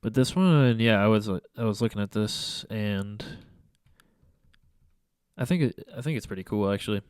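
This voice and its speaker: A man's voice: fairly low pitch, normal speed, pauses often, a little mellow, smooth, no room sound, studio quality. A young man with a stuffy, congested nose, casually reviewing his photos in a rambling, hesitant way full of fillers and restarts. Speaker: photography vlogger. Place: small room at home by a window.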